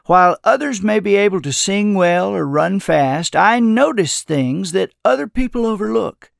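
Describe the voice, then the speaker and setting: A man voicing a kindly, calm old wanderer with an unhurried, soothing, folksy way of talking, as character dialogue in an audiobook. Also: high-pitched, vocal fry, no echo, studio quality, pitched above the performer's own voice, full character voice. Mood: earnest.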